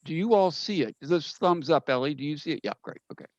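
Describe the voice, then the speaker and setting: Higher-voiced man presenting on a dull-sounding call. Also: animated, fast, a little mellow, no room sound. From United States. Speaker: poet presenting at an open mic. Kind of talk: informal spoken introduction to an audience. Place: indoors on an online video call. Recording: dull, slightly lo-fi video call audio.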